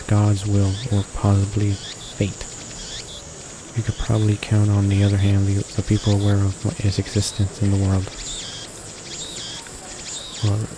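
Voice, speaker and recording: A man hosting a game read-along, narrating the text aloud in a halting way and often correcting his own stumbles. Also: lowish voice. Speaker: video game walkthrough host reading aloud. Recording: noisy home recording with constant background hiss.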